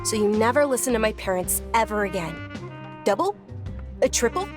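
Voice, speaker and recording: A woman playing a polite, gentle but stubborn young noblewoman in an animated game, speaking in an earnest, hesitant way. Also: a little high, brisk, lightly acted. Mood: insistent. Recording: music playing behind the voice.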